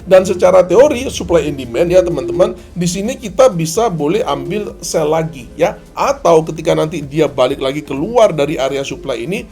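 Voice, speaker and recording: A slightly hoarse man giving a tutorial as a trading instructor, didactic, often asking and answering his own questions. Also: a little high, darkish tone. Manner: didactic, asks and answers own questions, addresses viewers as friends. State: hoarse, often clearing throat. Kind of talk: tutorial commentary on a chart replay.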